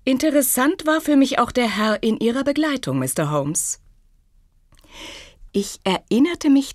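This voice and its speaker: A woman's voice: fairly low pitch, dry recording, clean studio sound. An actress playing a clever, self-possessed young woman in a German audio drama, poised, formal and faintly teasing.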